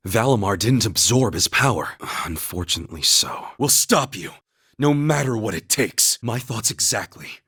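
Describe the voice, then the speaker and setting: Earnest young game knight, low husky voice, solemn, measured, loyal, formal. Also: male voice, animated, sharp tone, no room sound, clean studio sound, full character voice. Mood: subdued, grave, then resolute. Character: earnest, steadfast young male warrior-knight, devout and loyal. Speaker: video game character voice actor. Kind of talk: dramatic English video game voice acting.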